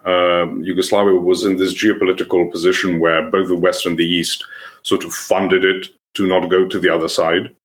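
A young man with a Serbian accent talks as a podcast guest, his voice sitting very low. He picks his words thoughtfully and often stops to restart a phrase. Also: flat delivery. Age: young adult. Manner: thoughtful, halting, frequent restarts. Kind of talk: conversational podcast interview.

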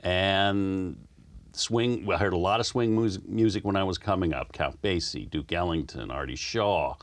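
A man with a slight Southern American accent, a guest telling easygoing, rambling stories in a relaxed interview. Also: deep voice, measured pace. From United States.

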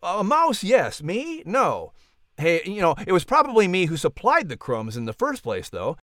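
A man announcing and narrating a scripted podcast in an animated, theatrical way. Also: sits high, moderate pace, no echo, studio quality.

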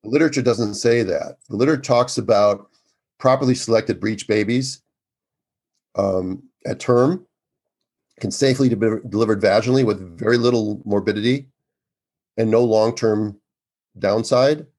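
Loud, husky-voiced older man. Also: lowish voice, moderate pace, long pauses. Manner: loud, emphatic, earnest. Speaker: obstetrician guest. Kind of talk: conversational interview.

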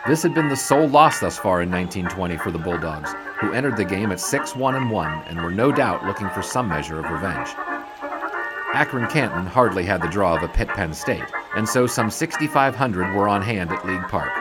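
Loud music plays behind an actor as a 1920s sports writer with a lowish voice. He narrates games of the past in a measured, literary way, as scripted audio drama. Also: expressive, rough recording. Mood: narrating, wry.

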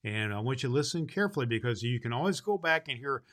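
A man playing jolly old Santa Claus as host of a children's show, talking to the kids in a folksy, emphatic, halting way. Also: medium pitch, lively intonation, fairly bright tone, close to an everyday voice. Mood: kindly, chatty. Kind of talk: unscripted address to children.

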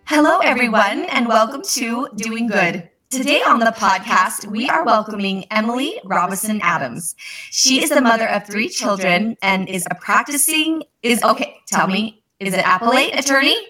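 A woman's voice: a little high, lively intonation, moderate pace, bright, forceful tone, low-quality recording. A woman hosting a podcast, chatting casually in a bubbly way and laughing easily. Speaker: podcast host. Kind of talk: casual interview chat.

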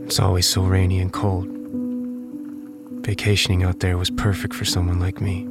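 An actor playing a weary, reclusive, troubled son who narrates an audio drama in a brooding, halting, introspective way. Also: male voice, low voice, completely flat delivery, loud music behind the voice. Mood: pensive. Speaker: narrator and lead character.

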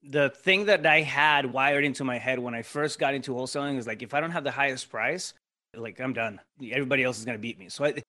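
A man's voice: mid-range voice, sharp tone. Podcast guest, man with a Mexican Spanish accent, a native Spanish speaker; casual and explanatory, checks in with tag questions. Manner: explanatory, lays out steps, frequent tag questions. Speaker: podcast guest, real estate investor. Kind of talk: casual interview talk.

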